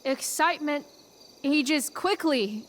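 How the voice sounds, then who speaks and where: Hesitant teenage stage spirit, very slow. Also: female voice, high voice, animated, fairly bright tone, slight background noise, full character voice. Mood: wistful, earnest. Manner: halting, searching for words. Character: hesitant teenage girl improvising as a loyal spirit servant. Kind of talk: amateur stage verse in a game cutscene.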